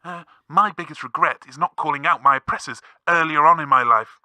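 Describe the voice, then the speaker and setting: A man's voice: a little high, brisk, bright, forceful tone, dry recording. Man voicing giant talking slug, comedy radio caller, bigoted, indignant, piercing tone, dry sound. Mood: aggrieved. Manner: whining, aggrieved, ranting. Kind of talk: scripted comedy radio phone-in acting.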